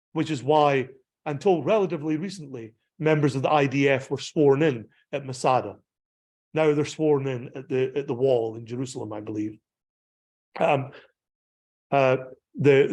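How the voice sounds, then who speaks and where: A man's voice: medium pitch, a little mellow. A Scottish man, a pastor, giving a digressive slideshow talk about a pilgrimage, full of ums and restarts. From Scotland.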